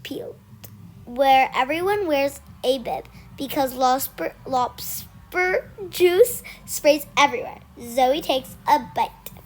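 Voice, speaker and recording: A child with a high voice reads a picture book aloud at a slow pace. The reading is halting, with words repeated and corrected as it goes, in the way of an amateur read-aloud.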